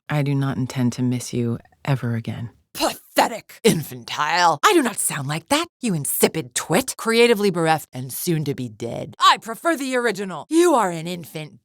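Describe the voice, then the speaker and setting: A woman's voice: a bass voice, darkish tone, no echo, clean studio sound. A woman playing a haughty, contemptuous female alien agent in a video game, speaking formally with clipped, disdainful precision. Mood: cold disdain. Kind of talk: scripted video game character lines.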